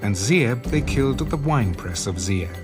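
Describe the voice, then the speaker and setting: A man giving solemn, measured narration of scripture. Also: mid-range voice, animated. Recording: loud background music.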